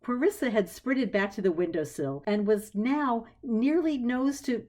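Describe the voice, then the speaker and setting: A woman narrating a scripted story as an expressive storyteller. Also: a little high, unhurried.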